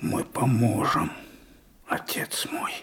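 An actor playing a devoted, solemn disciple in a radio drama, speaking in a declamatory way. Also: male voice, slow, fairly bright tone, in a whisper. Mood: solemn, resolute. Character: devoted male disciple of a dying plotter, solemn.

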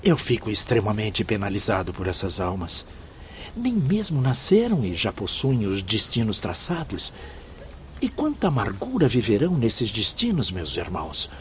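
A man's voice: lowish voice, wildly swooping pitch, normal speed, slight background noise. A man playing a compassionate, troubled spirit in a radio drama, pleading and theatrical. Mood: pained, pitying. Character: compassionate, sorrowful male spirit, deeply troubled.

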